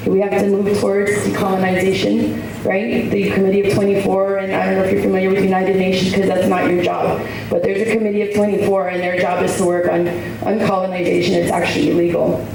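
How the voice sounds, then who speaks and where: A woman's voice: mid-range voice, little pitch movement, quick pace, gravelly, hardly any pauses. A woman giving a rambling, earnest public comment at a hearing in a hotel ballroom, with many asides. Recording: echoey hall picked up from far off, with background noise.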